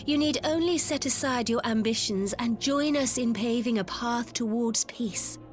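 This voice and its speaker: An actress playing a dignified, earnest sultana, speaking formally and deliberately in a game cutscene. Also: female voice, a little high, soft background music, close to an everyday voice. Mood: resolute, diplomatic. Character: dignified female sultana, earnest, resolute, diplomatic.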